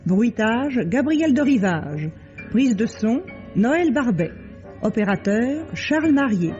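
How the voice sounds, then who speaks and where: A woman announcing credits in a formal, measured way, in the style of a vintage radio drama broadcast. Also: fairly high pitch, big pitch swings. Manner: measured, formal, list-like reading. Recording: dull lo-fi vintage radio recording. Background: loud music.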